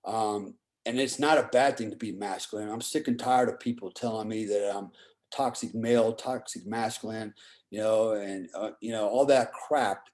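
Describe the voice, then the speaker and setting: A man's voice: on the low side, normal speed, a little mellow. A middle-aged man telling stories and giving opinions casually, in a blunt, profane way, often checking for agreement. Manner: often seeks agreement with "right?" and "you know". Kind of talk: casual conversational storytelling and opinion.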